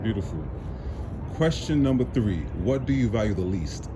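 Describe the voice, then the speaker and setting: A man's voice: lowish voice, expressive, moderate pace. A male interviewer whose voice moves up and down freely as he offers brief affirmations and announces each numbered question. Traffic noise fills the background.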